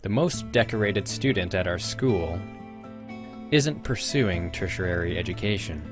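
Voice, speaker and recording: A suave, calculating young student council president played by a man speaks with formal, measured politeness and a smug edge. His low voice sits over clearly audible music in this anime dub. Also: lively intonation. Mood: formal, persuasive. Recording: music behind the voice.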